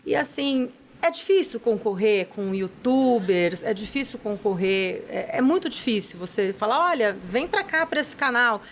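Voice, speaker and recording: A woman sits in a TV studio and explains her charity's work as a guest. Her voice is fairly high, and she talks eagerly, stringing sentences together with little tag questions. A faint noise floor runs under her. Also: animated, normal speed, hardly any pauses. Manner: enthusiastic, explains at length with many "né?" tags. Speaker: executive director of a charity, guest. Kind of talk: casual interview talk.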